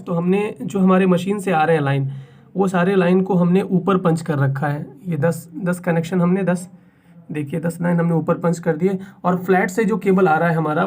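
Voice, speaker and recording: A man's voice: a little high, gravelly, a little mellow, slight background noise. A man presenting a hands-on technical tutorial as a technician, explaining step by step, repeating points and mixing English technical terms into his speech.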